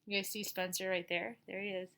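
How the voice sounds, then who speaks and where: A middle-aged woman with a bright, crisp-edged voice at an average pitch reads a children's book aloud. She is expressive and teacherly, slipping between the story and casual comments or questions for her young listeners. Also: a little fast.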